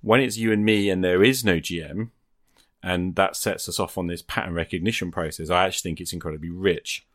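A man with a low-pitched voice, recorded dry and close, chats in a reflective, informal way. He thinks aloud as he goes, letting his points build in loose conversational phrases. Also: a little fast, fairly bright tone, no room sound. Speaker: podcaster and tabletop game master. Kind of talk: casual, reflective chat about tabletop gaming.

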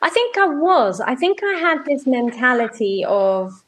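A woman's voice: high-pitched, unhurried. A woman from Norwich, chatting as a guest in an interview over a video call, telling stories and often correcting herself. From Norwich, England. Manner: chatty, self-correcting, animated storytelling. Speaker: guest, music media professional.

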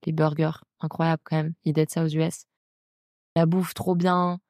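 A young woman with a French accent from France speaks in a low, soft-edged, relaxed voice. The sound is close and dry. She chats informally as a podcast host, using slang and talking directly to the listener. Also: normal speed, no room sound. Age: young adult. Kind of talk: casual solo chat about personal experience.

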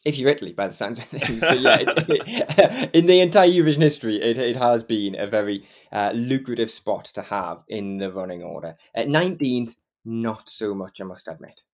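A man's voice: medium pitch, expressive, normal speed, hardly any pauses. A man with a Geordie accent from Northeast England, hosting a podcast in casual, chatty conversation with frequent fillers and laughs. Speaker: podcast host. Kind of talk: casual chat and interviewing.

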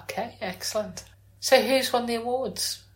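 A woman's voice: on the high side, normal speed. A British woman chatting casually as a podcast co-host. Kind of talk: casual chat. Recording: echoey room.

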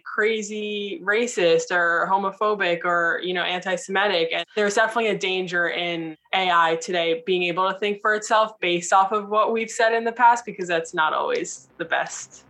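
A woman's voice: mid-range voice, a bit bright. A woman being interviewed, explaining her thoughts in a casual, informal way with "like" scattered through her sentences. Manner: informal, frequent 'like' as filler. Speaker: interview guest.

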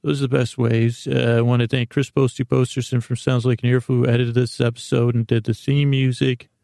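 Gravelly man rambling softly. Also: medium pitch, little pitch movement, brisk, dry recording, studio quality. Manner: rambling, self-interrupting, botches words on purpose. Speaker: sleep podcast host. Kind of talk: meandering bedtime monologue.

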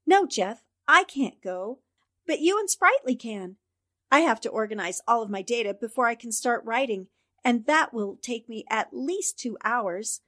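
Woman as newspaper reporter, high-pitched, decisive, self-assured, 1940s radio drama acting. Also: lively intonation, unhurried, a bit bright. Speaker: actor.

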